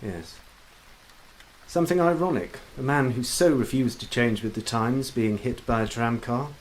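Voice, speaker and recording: A man's voice: medium pitch, moderate pace, slight background noise, close to an everyday voice, poor audio. Man acting an earnest, idealistic Victorian doctor. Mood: wry, bitter. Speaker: stage actor. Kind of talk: theatrical period drama acting.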